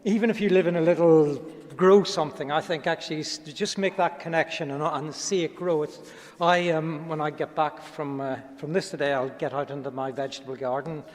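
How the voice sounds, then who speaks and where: A man moderating a panel question session, speaking haltingly with restarts and ums. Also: on the high side, fairly even intonation. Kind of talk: hosting a panel Q&A. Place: large conference hall. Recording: long reverb of a large hall.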